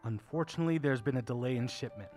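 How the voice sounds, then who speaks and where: An actor playing a courtly, whimsical old uncle who runs a curiosity shop in an audio drama, genial and theatrical, with old-fashioned manners. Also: male voice, medium pitch, fast, dark tone, soft background music, close to an everyday voice, poor audio. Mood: guarded.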